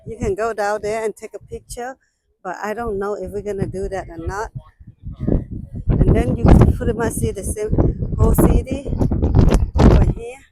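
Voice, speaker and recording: A woman who is a non-native English speaker, chatting casually to viewers as an exclamatory travel vlogger in simple, halting phrases, outdoors on a handheld camera. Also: medium pitch, fairly even intonation, noisy background. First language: not English. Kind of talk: casual sightseeing vlog, talking to viewers. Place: outdoors at a windy mountain lookout.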